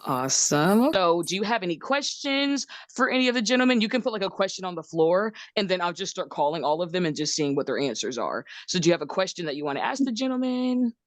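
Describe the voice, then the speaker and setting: A woman's voice: lowish voice, big pitch swings, fast, hardly any pauses, dry recording. A woman hosting an unscripted dating show, asking contestants questions. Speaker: host.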